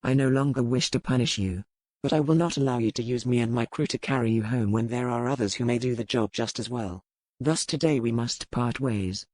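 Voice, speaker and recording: A man voicing a stern, cold but courteous pirate captain with a formal, measured delivery in a dramatized audiobook. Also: medium pitch, darkish tone, no room sound, natural voice. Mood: reproachful, resolute.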